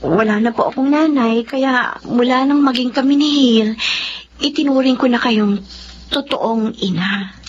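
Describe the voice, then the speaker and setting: A woman's voice: fairly high pitch, measured pace, close to an everyday voice. A woman playing a respectful, aggrieved young wife and mother in a Filipino radio drama, polite, hesitant and pleading. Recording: dull lo-fi audio with faint noise.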